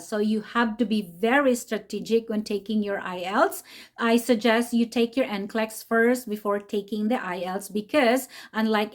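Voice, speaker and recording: A woman in her forties with a Filipino accent, chatting upbeat to camera from a small home room, often adding a quick "okay?". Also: fairly high pitch. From Philippines. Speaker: nurse vlogger. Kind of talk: casual explainer vlog.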